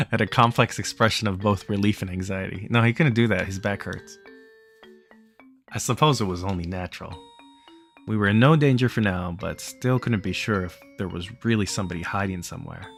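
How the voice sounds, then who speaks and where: Low-voiced man reading a game aloud. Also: moderate pace, gravelly, a bit bright, soft background music. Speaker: let's play host reading the game's text aloud. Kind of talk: casual playthrough commentary mixed with read-aloud narration.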